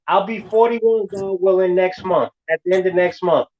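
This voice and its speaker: A man in his forties with a notably high-pitched voice talks in an easy, conversational way. He repeats his phrases to hammer home a point, like someone preaching to a friend. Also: normal speed, dull audio. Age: 40s. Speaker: host. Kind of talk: casual chat.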